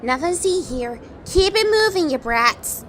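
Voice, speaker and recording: A woman's voice: very high-pitched, expressive, normal speed, a bit bright, slight background noise. A woman playing a curt, impatient, scolding town guard in an audio drama. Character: gruff, bossy, impatient female town guard. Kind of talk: audio drama character acting.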